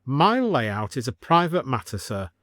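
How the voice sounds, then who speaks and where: A man's voice: medium pitch, big pitch swings, unhurried, a bit bright, dry recording. A man playing an officious, bureaucratic village police constable with a formal manner in an audiobook.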